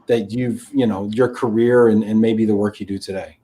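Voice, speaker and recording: A man's voice: fairly low pitch, steady tone, moderate pace, warm tone. A man hosting a live-streamed interview, chatty and effusive, who reads viewer comments aloud and riffs on them.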